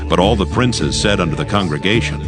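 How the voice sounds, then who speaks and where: Man, lowish pitch, formal measured scripture narration, scripted, music clearly behind. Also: expressive.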